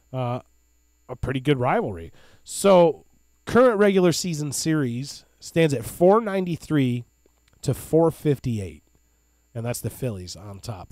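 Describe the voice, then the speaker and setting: A man's voice: mid-range voice, darkish tone, dry recording. Enthusiastic man podcasting. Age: 30s. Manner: enthusiastic, hype-man plugs. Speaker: podcast co-host. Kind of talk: casual sports podcast chat.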